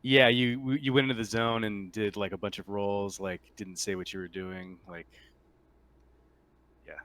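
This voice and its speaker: A man running a casual tabletop roleplaying game as game master, explaining in a halting way with repeats and rephrasing. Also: lowish voice, flat delivery, a bit bright, no echo.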